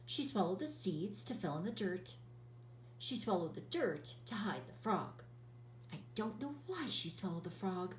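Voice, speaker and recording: A woman's voice: medium pitch, a little hiss or hum. Woman teacher, children's read-aloud, bouncy sing-song voice, roomy echo, a bit far from the mic. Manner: lively, sing-song storytelling with drawn-out words.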